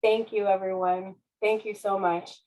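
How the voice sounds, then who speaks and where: A woman's voice: mid-range voice, little pitch movement, measured pace, dark tone, low-quality recording. A woman, a children's author, speaking at a public reading of a picture book. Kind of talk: slow storybook narration.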